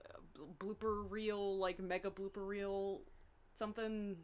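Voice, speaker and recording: A woman's voice: medium pitch, flat delivery, measured pace, crowd noise. A woman speaking casually and unscripted as part of a group chat. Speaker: cast member in a panel Q&A.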